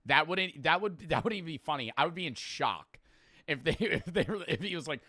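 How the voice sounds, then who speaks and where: Animated, bright-voiced young-sounding man reacting casually. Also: fairly high pitch, a little fast, no room sound, studio quality. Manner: slangy, swearing, joking. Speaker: host reacting to a video.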